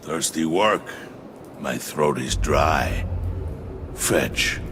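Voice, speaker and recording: A man's voice: very deep voice, expressive, extremely slow, heavily acted. A man playing a domineering villain in a video game, giving curt, menacing commands. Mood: contemptuous, commanding. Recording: long hall reverb, music behind.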